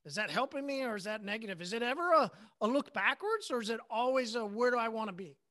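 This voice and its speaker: Bright-voiced podcast host asking probing questions. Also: medium pitch, lively intonation, fast. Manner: probing questions, filler-heavy. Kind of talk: casual podcast chat.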